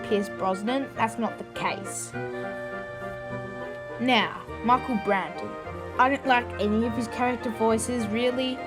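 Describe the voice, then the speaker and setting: A video host giving informal, opinionated commentary in a casual style. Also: mid-range voice.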